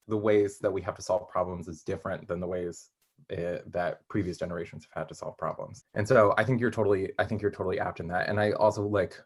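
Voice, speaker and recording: Low, husky young man thinking aloud. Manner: halting, thinking aloud, self-correcting. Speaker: playwright being interviewed. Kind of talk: casual interview chat.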